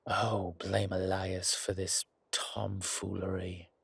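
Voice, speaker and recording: A man playing a weary, wry vampire elder in an audio roleplay, speaking in a slow, sardonic drawl with formal, old-fashioned wording. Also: low voice, completely flat delivery, vocal fry, a bit bright, husky, no echo, full character voice. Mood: grumbling. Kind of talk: dramatic audio roleplay acting.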